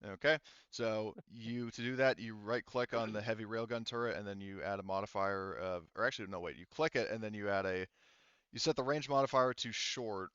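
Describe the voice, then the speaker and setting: A man acting as game master of a tabletop game, explaining rules casually in a halting, step-by-step way. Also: on the low side, a bit bright, clear, resonant tone, no room sound, highs cut off.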